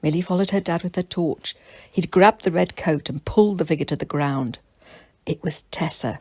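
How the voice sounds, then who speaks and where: A woman's voice: on the low side, normal speed, a little mellow, slightly rough, no echo. A woman narrating a children's storybook as its author and reader.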